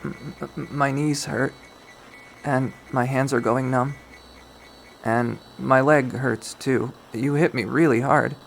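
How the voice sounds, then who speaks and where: A man's voice: medium pitch, fairly even intonation, slow pace, vocal fry, a little hiss or hum, rough recording. A man doing a timid, stammering captive man. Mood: frightened. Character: meek, frightened man pleading.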